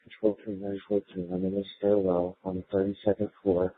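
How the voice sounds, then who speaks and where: A man's voice: low voice, little pitch movement, a little fast, breathy edge, dry recording, phone-quality sound. A male police officer reporting in over a police radio, heard on a scanner. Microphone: two-way police radio.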